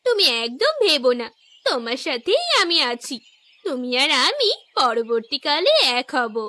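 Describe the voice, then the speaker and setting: Woman voicing a very high, whiny young village wife. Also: very animated, measured pace, sharp tone, no room sound, exaggerated voice. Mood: coy, coaxing. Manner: coaxing, complaining. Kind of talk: animated cartoon story dialogue.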